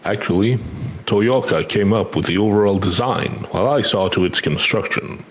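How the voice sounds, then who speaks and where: Man playing a low-voiced courteous builder. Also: normal speed, lightly acted. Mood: pleased, curious. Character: courteous, thoughtful builder in a fantasy game. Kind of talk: amateur game dialogue read-aloud.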